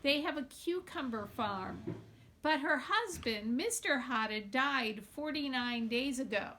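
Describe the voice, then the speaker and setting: A woman reading a children's novel aloud in a deliberate, expressive storytelling manner, like a teacher with a class book. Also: sits high.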